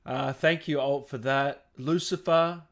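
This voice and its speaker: A man's voice: medium pitch, steady tone, a little slow, a bit bright. A man hosting a casual gaming interview, chatting with his guest and reading out questions from viewers. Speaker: interviewer, gaming channel host. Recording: video call.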